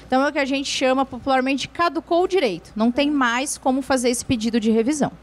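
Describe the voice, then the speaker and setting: A woman's voice: high voice, quick pace. A woman lawyer answering viewer questions on a TV show, explaining patiently with frequent tag questions and restarts. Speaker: lawyer, guest expert.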